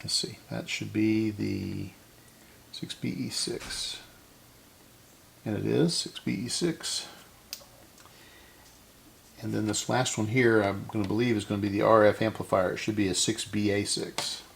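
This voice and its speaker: Low-voiced man with a gravelly edge. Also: steady tone, measured pace, long pauses, slight background noise. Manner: unscripted, talking to himself while working. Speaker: host of a vintage electronics repair channel. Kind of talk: casual hands-on repair demonstration. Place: indoor electronics workbench.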